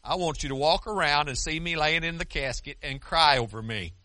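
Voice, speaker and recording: High, bright-voiced older preacher man. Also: a little fast, no room sound. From United States. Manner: earnest, conversational preaching with many restarts and asides. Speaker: pastor. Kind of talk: sermon.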